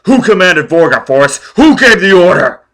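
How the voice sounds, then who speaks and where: A man's voice: fairly low pitch, a little slow, a bit bright. A man voicing a ruthless, vengeful man who barks demands and threats. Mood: shouting fury. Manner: menacing, barking demands. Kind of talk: audiobook character voice.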